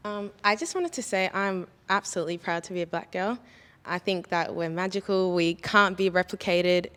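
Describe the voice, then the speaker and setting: A woman's voice: on the low side, moderate pace, a bit bright, smooth. A young woman giving her opinions in a panel discussion, earnest and heavy on "um". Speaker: panel guest. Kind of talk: casual discussion. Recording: long reverb.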